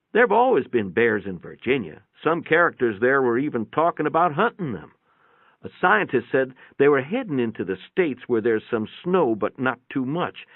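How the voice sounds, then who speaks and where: A man's voice: mid-range voice, dry recording, narrow, tinny audio. A man narrating a first-person short story in a dramatic reading, with a conversational, folksy storytelling manner. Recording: thin, band-limited, radio-like sound.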